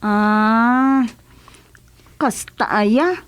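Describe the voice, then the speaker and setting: Woman acting high-pitched, whiny naive patient. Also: clear, resonant tone. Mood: polite, hesitant. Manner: hesitant, drawn-out interjections. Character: naive, whiny female patient, polite and puzzled. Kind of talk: Ilocano radio comedy drama acting.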